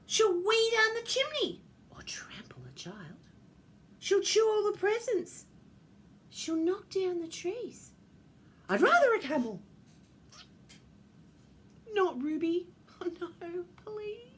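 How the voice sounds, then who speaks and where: A woman's voice: very high voice, big pitch swings, slow pace, pauses often, voice pushed up, full character voice. A woman voicing disapproving, whiny reindeer complaining in a children's story. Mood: whiny complaint. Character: complaining, alarmed herd of snobbish reindeer.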